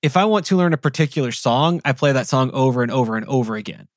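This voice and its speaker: Relaxed man chatting on a podcast. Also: medium pitch, fast talker, no room sound, clean studio sound. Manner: relaxed, rambling with frequent "like" fillers. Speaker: podcast co-host. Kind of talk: casual conversation.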